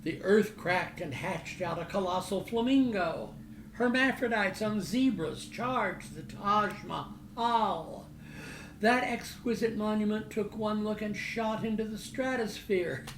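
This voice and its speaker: A man reading literary prose aloud as its author, in a declamatory, dramatic and deliberate manner. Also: high-pitched. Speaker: author reading from own novel. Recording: roomy echo, loud background noise.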